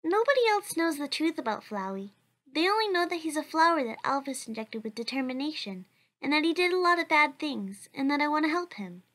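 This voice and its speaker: A woman's voice: sits high, animated, a little fast. A woman voicing a cheerful, earnest child who answers questions for a blog in a comic dub. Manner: bright, chatty, earnest.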